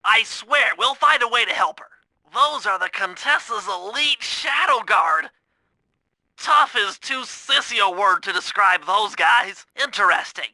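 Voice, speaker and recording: A brainy young cartoon turtle, with a very high, bright nasal voice. He lays out heist plans for a video game in an eager, precise and earnest way, sounding close and dry. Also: no room sound, exaggerated voice. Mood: earnest, analytical. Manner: precise, technical, eager mission briefing. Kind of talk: animated video game voice acting.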